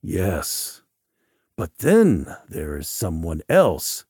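Tormented lovesick man, acted by a male narrator: low, slow, hesitant, plaintive, dry recording. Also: wildly swooping pitch, darkish tone, husky, dry recording, heavily acted. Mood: weary, tormented. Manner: hesitant, drowsy. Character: drowsy, tormented, lovesick man pleading for help. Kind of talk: audiobook character dialogue.

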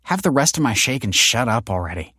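Audiobook heroine read by a man: young, sharp-tongued, teasing, voice lifted higher, brisk and sardonic. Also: very animated, husky, no echo, clean studio sound, full character voice. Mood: teasing, incredulous.

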